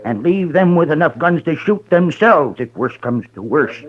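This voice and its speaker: A man's voice: fairly high pitch, expressive, moderate pace, a crowd in the background, lightly acted. An actor playing a boastful, bloodthirsty old frontier man who rambles and stammers, in old-time radio western style. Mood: excited boasting. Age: older. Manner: rambling, blustering, stammering, repeats himself. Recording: dull vintage radio recording.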